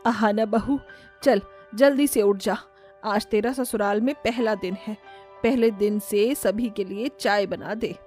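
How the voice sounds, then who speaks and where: A woman voicing a homely, bossy middle-aged mother-in-law who gives brisk instructions in an animated family story. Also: mid-range voice, faint music behind, voice pushed down, close to an everyday voice.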